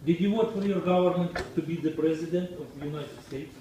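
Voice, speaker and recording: A man from the former Soviet Union pleads in halting phrases. His voice is high and sounds far off in a very echoey room. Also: warm tone, slight background noise.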